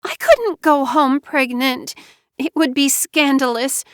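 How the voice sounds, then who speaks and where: A woman narrator voicing a manipulative young wife who pleads in a high, whiny little-girl voice through sobs. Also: lively intonation, slow pace, no room sound, clean studio sound. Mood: plaintive. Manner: high little-girl whine, sobbing pleas.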